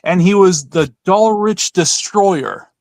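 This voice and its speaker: A man's voice: high voice, takes it slowly, a little mellow, dry recording, clean studio sound. A man co-hosting a podcast in casual chat, with an informal, wry way of talking. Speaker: podcast co-host.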